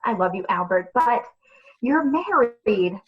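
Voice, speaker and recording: A woman acts out a lovesick office accountant who is fed up and pleading. Her voice is high and melodramatic, in radio play comedy style, with slightly muffled sound. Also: expressive, slow. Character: lovesick, fed-up female accountant having an affair.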